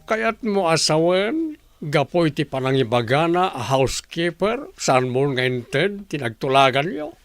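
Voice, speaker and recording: Radio drama father, male actor: stern, older Ilocano man, reproachful, swinging pitch, dry close sound. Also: big pitch swings, a little slow, no echo. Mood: scolding.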